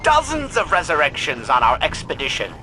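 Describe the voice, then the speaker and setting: A man's voice: on the high side, huge pitch swings, brisk. A man playing an embittered, haughty scientist in a video game, speaking in a pompous, irritable, wordy way. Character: embittered, haughty male scientist, half-converted by machines. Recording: gameplay noise underneath.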